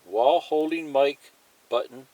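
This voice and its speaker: Slow-paced man giving a hands-on tutorial. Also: fairly high pitch, mellow, no room sound, lo-fi sound. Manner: plain-spoken, repetitive, pointing things out as he goes. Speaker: hobbyist instructor. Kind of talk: step-by-step hands-on tutorial demonstration, partly reading a manual aloud.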